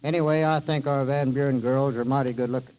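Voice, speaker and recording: A man's voice: medium pitch, steady tone, fast talker, darkish tone, rough recording. Male, medium register, small-town civic guest, polite plain-spoken delivery of scripted radio banter.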